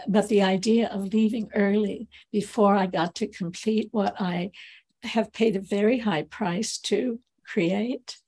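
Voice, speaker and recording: A woman speaking slowly and lyrically in a reflective group conversation, her sentences trailing off into soft, whispered endings. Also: medium pitch, vocal fry, husky. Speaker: speaker sharing her work on language.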